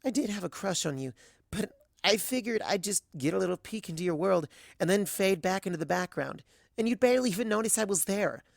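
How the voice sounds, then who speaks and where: Young female pony for a storybook reading, played by a narrator: earnest, shy, hesitant, pleading, lifted pitch, dry sound. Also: animated, brisk, creaky, no room sound. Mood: hurt, earnest. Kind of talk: dramatic audiobook fan fiction reading.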